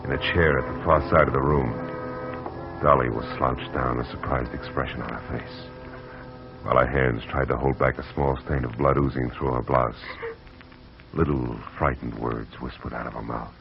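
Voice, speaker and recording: An actor playing a tough private detective, clipped and wry, narrating in old radio-drama style. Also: male voice, a bass voice, fairly even intonation, moderate pace, vocal fry, music in the background. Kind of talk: 1940s radio acting. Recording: dull old radio broadcast with faint hiss.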